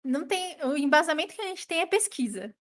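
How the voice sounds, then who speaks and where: A woman's voice: high voice, animated, fast talker. A woman in her thirties from São Paulo, co-hosting a podcast with wry, teasing humor. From São Paulo, Brazil. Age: 30s. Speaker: podcast co-host. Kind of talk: casual podcast chat.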